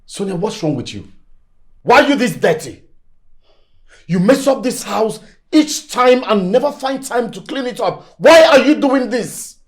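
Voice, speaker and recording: A scolding, irritated husband. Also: male voice, sits high, big pitch swings. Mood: annoyed. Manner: scolding, rapid accusing questions. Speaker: actor.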